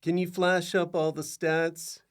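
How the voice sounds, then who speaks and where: A man's voice: on the high side, level tone, a little slow. A man hosting a podcast, chatting casually and jokily with his guest. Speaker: podcast host. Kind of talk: casual comic interview chat.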